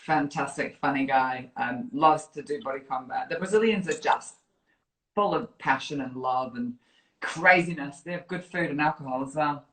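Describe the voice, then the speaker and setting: A woman's voice: low-pitched, moderate pace, fairly bright tone. Echoey, playful northern English woman. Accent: northern English, softened by years in New Zealand. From Yorkshire, northern England. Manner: playful, teasing. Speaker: fitness program director, interview guest. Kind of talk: casual live chat, reading viewer comments. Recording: roomy, echoey live online video chat.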